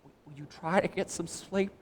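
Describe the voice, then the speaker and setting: A man's voice: on the high side, a little fast, long reverb tail, natural voice. A young man acting a small-town father at breaking point in a live radio play, stammering and repeating words. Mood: tender. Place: theater stage with audience. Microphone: vintage stand microphone.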